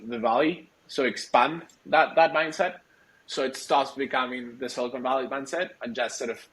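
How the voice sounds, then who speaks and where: Man, medium pitch, conversational interview guest, explanatory, halting delivery. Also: fairly bright tone, poor audio. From Argentina. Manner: halting, searching for words, explanatory. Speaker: startup executive, interview guest.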